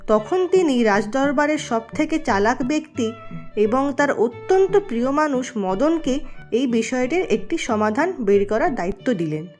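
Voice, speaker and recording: High-pitched woman narrating a story. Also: expressive, normal speed, darkish tone, soft background music.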